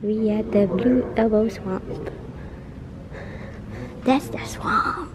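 A woman's voice: mid-range voice, extremely slow, crowd noise. A young woman chatting casually and playfully on a family vlog, often teasing or cooing in baby talk. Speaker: vlogger, mother filming a family road trip. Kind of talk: casual chat. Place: outdoors at rest stop and inside moving car. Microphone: handheld camera held by the speaker. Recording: muffled, loud car and outdoor noise.

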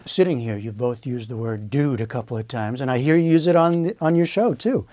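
A man conducts a news interview in a mid-range voice. He asks measured, probing questions and keeps them brief. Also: medium pitch, warm tone.

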